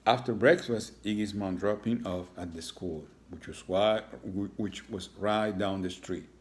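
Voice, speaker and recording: Low-voiced man reading a story to children. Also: unhurried. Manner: reassuring, explanatory, speaking to young children. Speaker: teacher reading aloud to schoolchildren. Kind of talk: read-aloud storytelling with informal asides to children.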